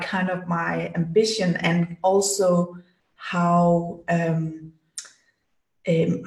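A woman's voice: fairly low pitch, darkish tone. A woman from Denmark in her thirties, a podcast guest speaking casually with many fillers and restarts. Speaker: podcast guest, business strategist. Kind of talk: casual interview talk. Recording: echoey room, voice a bit far from mic.

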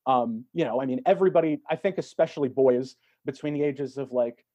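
A man's voice: medium pitch, warm tone. A man in reflective, casual conversation as a guest, feeling his way through thoughts with repeated words. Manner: halting, repeats words while thinking. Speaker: podcast guest. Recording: dull, lo-fi audio.

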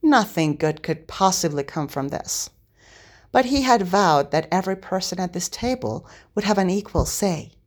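A woman reading a story aloud as narrator, in an expressive scripted style. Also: lowish voice, normal speed. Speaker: podfic narrator.

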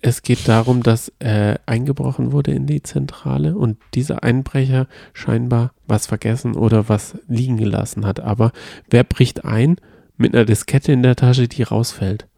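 A man co-hosting a casual podcast chat, calmly retelling a detective story and teasing about spoilers. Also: medium pitch, moderate pace, vocal fry, no room sound, clean studio sound. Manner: explains calmly and at length, playful about spoilers. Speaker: podcast co-host. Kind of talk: casual chat about a radio play series.

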